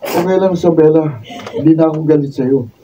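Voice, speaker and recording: A man's voice: on the high side, fairly quick, dark tone, lightly acted. A man acting a patient but exasperated young boyfriend in a Filipino radio drama, protesting in a Filipino accent. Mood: resigned. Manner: pleading, protesting, aggrieved. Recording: distant, dull, noisy behind-the-scenes room recording.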